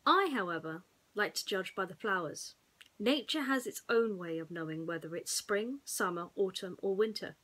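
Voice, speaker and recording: Lady doing bedtime storytime, really lively up and down voice, talks right to the kids. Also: medium pitch, normal speed. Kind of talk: gentle chat to children and expressive story narration.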